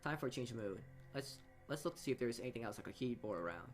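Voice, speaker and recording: A man's voice: mid-range voice, animated, fast talker, faint music behind. A man reading a story game aloud in informal narration, often stumbling and going back over his words. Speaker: player reading a visual novel aloud.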